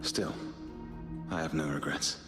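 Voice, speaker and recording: A calm condemned believer in a story game, solemn, very low voice, long hall reverb. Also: male voice, measured pace. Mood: calm resignation. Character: resigned, calm condemned man of faith. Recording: reverberant hall effect, music behind.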